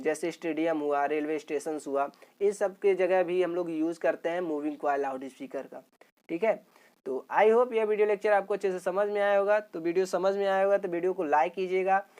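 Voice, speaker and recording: A man's voice: high-pitched, darkish tone, clean tone. A man giving an informal technical video lecture as a teacher, repeating points and often checking that listeners follow. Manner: repetitive explaining with frequent check-in tags.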